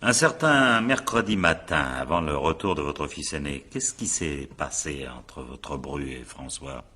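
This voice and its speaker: A man acting in a radio crime drama as a patient, insistent investigator, deep-voiced and husky, with a wry, probing manner. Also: a little slow, pushed and bright, exaggerated voice. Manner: probing, wry, conversational, authoritative. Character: patient, insistent male investigator questioning a witness.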